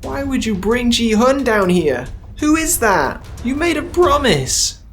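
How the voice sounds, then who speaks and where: A man's voice: sing-song, slow, a bit bright, slight background noise, full character voice. A man doing a frightened, pleading young husband. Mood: accusing. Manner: high, strained and pleading. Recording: faint music underneath.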